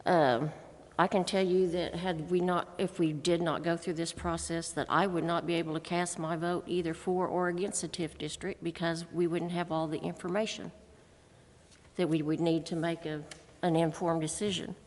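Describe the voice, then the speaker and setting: Lower-voiced American woman in a reverberant room. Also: little pitch movement, normal speed, darkish tone. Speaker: city council member. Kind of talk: prepared remarks at a public meeting. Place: council chamber.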